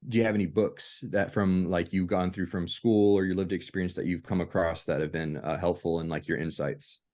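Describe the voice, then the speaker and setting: Low-voiced man, halting and casual. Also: fairly even intonation, darkish tone. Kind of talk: casual chat. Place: video call.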